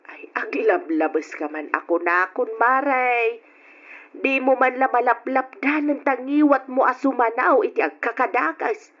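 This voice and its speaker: A high-pitched, emphatic Filipino woman as the blunt friend who talks sense in a radio serial. She scolds with exasperation, and the sound is dull, like an old recording. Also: unhurried. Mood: reproving. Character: outspoken female friend, exasperated, blunt voice of reason. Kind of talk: radio drama acting.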